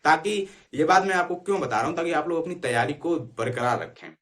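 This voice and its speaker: A man's voice: on the high side, expressive, fast, fairly bright tone. A man explaining things informally to students as an online exam-prep educator, urging them directly and often checking that they follow. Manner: repeatedly checks understanding with short tag phrases.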